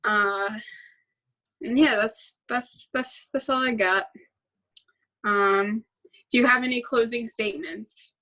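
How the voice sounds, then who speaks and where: Young woman host, slow and rambling, bright tone, casual filler-heavy chat. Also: on the high side, fairly even intonation, poor audio. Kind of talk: casual chat about musicals.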